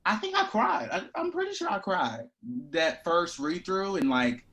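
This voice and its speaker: A man speaking as an actor being interviewed, candid, reflective and expressive in casual conversation. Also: sits high, moderate pace, a little hiss or hum.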